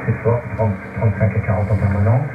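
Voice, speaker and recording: A man's voice: lowish voice, fairly even intonation, fairly quick, reverberant, far from the mic, phone-quality sound, background noise. A man who is an amateur radio operator, chatting casually and giving signal reports over shortwave.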